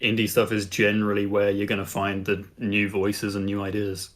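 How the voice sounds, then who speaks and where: A man's voice: on the low side, monotone, normal speed. A man co-hosting a podcast, explaining things in a casual, analytical discussion. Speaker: podcast co-host.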